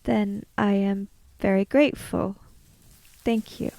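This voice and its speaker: A woman's voice: medium pitch, steady tone, takes it slowly, vocal fry, mellow, no echo. A woman playing a polite, reserved samurai traveller in a tabletop game, speaking formally. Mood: grateful.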